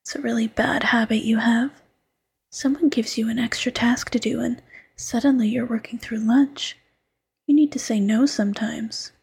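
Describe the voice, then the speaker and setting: A woman voicing a doting, teasing fox girlfriend who mothers her partner, in soft coaxing whispered roleplay. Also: a little high, steady tone, normal speed, gravelly, husky, exaggerated voice.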